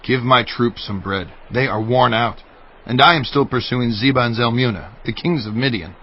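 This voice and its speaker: An actor playing a battle-weary, stern war leader in a dramatized scripture reading, speaking in a deliberate, declamatory way. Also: male voice, medium pitch, slight background noise, close to an everyday voice. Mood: earnest, weary. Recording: dull audio with music underneath. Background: music.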